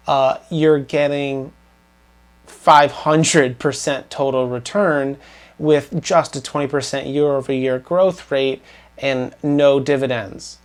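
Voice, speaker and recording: Scratchy-voiced young man vlogging. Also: fairly high pitch. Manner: rambling, filler-heavy, earnest. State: slightly scratchy voice. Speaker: finance vlogger. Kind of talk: casual portfolio update to camera.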